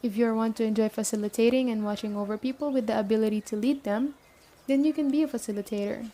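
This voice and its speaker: A woman playing a stern but caring survivor camp leader in an ASMR roleplay, speaking briskly and matter-of-factly straight to the listener. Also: a little high, fairly even intonation, mellow, close to an everyday voice. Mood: businesslike, earnest. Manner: brisk, matter-of-fact, addressing the listener directly.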